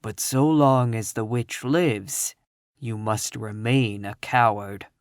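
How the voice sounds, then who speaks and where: A reader voicing a solemn, commanding wizard, speaking low, slow and hushed. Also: no echo, clean studio sound. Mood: stern.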